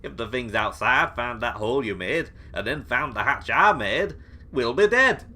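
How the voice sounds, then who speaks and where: A man's voice: medium pitch, expressive, moderate pace, pushed and bright. A British man acting an eccentric, paranoid hermit who lives in a bunker in a comedy audio drama, theatrical and blustering as he rambles. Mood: blunt, evasive.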